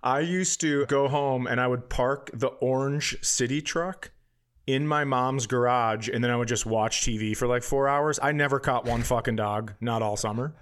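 A middle-aged man with a mid-pitched voice chats casually as a podcast co-host. He spins comic anecdotes, swears freely and acts out bits of dialogue as he goes. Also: normal speed, clean tone. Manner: profane, animated anecdotes with acted-out quotes. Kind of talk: casual comic storytelling chat.

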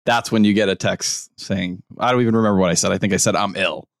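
A man's voice: low-pitched, fairly even intonation, vocal fry, dry recording, studio quality. A man co-hosting a podcast, joking at his own expense in casual chat. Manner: quick, self-deprecating, joking. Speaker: podcast co-host.

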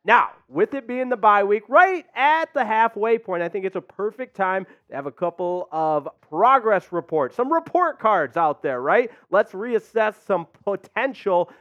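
A man's voice: high voice, animated, measured pace, hardly any pauses, a bit bright, muffled recording. A man hosting a live sports chat show, upbeat and hyped, reading out viewer comments. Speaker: podcast host.

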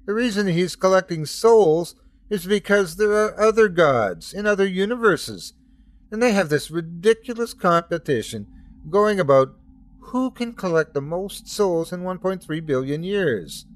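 Man putting on a higher, intense voice for a defiant divine son, lively rises and falls. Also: a little slow, no room sound. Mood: exasperated. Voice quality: pleading, raised and intense. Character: impassioned grown son defying his divine father.

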